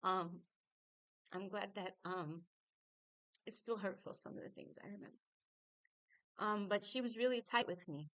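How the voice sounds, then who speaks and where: A middle-aged woman from New York telling her childhood story, halting and reflective, with frequent fillers. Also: medium pitch, fairly bright tone, dry recording. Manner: reflective, halting with fillers, laughs through painful memories. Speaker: singer. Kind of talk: personal storytelling.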